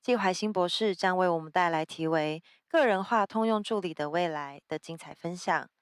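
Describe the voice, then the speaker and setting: Female emcee, lowish smooth voice, formal announcing, dry sound. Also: fairly quick, dry recording. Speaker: event emcee. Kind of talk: formal bilingual introduction.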